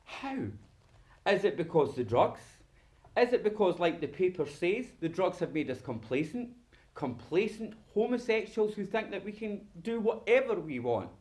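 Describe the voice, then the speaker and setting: Man acting a high-voiced, campy, sardonic monologist. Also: big pitch swings, normal speed, darkish tone. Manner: dramatic, sardonic, campy, given to mimicking dialogue. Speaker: actor performing a monologue. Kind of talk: theatrical solo stage monologue.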